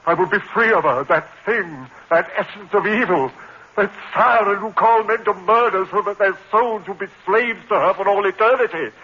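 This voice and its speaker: A frantic, high-pitched condemned murderer. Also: male voice, moderate pace, sharp tone, breathy edge, heavily acted. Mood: desperate. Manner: frantic, melodramatic, overwrought. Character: anguished condemned murderer haunted by a demon woman. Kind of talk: 1950s radio-style horror drama acting. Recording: dull, noisy old 1950s broadcast recording.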